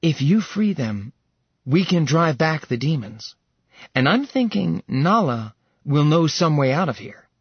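Determined young heroine, earnest and persuasive, read by a man, raised pitch, husky tone. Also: big pitch swings, a little slow, no echo, highs cut off, heavily acted. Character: determined young warrior woman.

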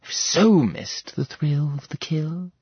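A regal, menacing goddess, as a male narrator puts her on, taunting her visitors with theatrical relish and dropping to a hushed, threatening whisper. The voice is somewhat husky and dry, with a slightly dull, muffled sound. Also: fairly high pitch, expressive, slow pace, no room sound, voice pushed up, exaggerated voice. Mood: gloating. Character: regal menacing goddess woman, bloodthirsty and mocking.

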